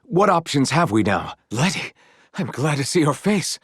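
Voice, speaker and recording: An actor voicing a courteous, earnest and gently proud young knight in a video game, with formal, eloquent phrasing. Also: male voice, fairly high pitch, sing-song, normal speed, breathy edge, dry recording, studio quality, full character voice. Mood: grave, measured. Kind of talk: video game character voice lines.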